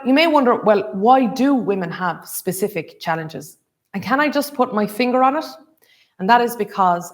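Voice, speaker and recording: A woman moderating a panel, speaking emphatically with rhetorical questions. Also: medium pitch. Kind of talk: scripted panel introduction.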